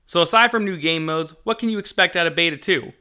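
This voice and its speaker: Upbeat guy narrating a game dev update, bright forceful voice, slightly high, jokey. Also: fast talker, clean tone. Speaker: game developer and channel host. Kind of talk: upbeat scripted video narration.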